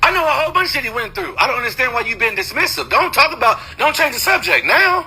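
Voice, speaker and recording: A man with a high, very bright and forceful voice argues on a recorded phone call. He is confrontational and swears freely. He hammers the same points repeatedly. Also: big pitch swings, fast talker, husky. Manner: confrontational, repetitive, profane. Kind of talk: heated argument on a phone call.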